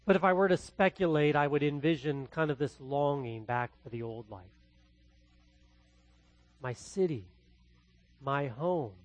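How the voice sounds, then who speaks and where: A man from the Midwest with a Midwestern accent, preaching a verse-by-verse sermon as pastor, swinging between loud and quietly hushed delivery. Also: on the high side, measured pace, long pauses, no echo, highs cut off. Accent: Midwestern American. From American Midwest. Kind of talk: expository sermon.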